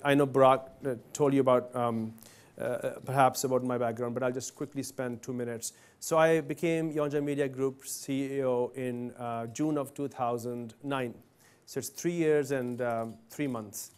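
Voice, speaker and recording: A man's voice: mid-range voice, darkish tone, smooth. A man in his forties from Pakistan, giving a conversational talk to students as a company CEO and asking the audience questions directly. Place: university event hall.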